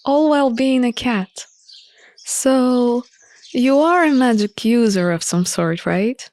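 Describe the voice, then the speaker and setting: A woman reading a visual novel aloud as an expressive narrator of a playthrough. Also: fairly high pitch.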